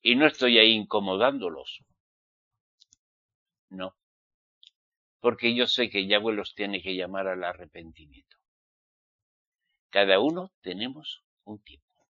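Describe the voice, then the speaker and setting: A man with an accent from Spain delivers a fiery, admonishing sermon in a forceful, sharp-edged voice. He speaks at a slow pace and pushes rhetorical questions at his listeners. The recording is dry and somewhat dull. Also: fairly low pitch, dry recording. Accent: Spanish (Spain). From Spain, Basque Country. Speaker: religious preacher.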